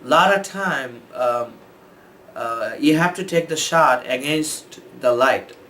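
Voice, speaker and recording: A young man from Bangladesh talks through photo tips in an easygoing, meandering way, his voice sitting at an ordinary middle pitch. He pauses often with ums and restarts his sentences. A faint hiss lies under the recording. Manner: relaxed, rambling, many fillers and restarts. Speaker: photographer and host. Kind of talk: casual explainer talk.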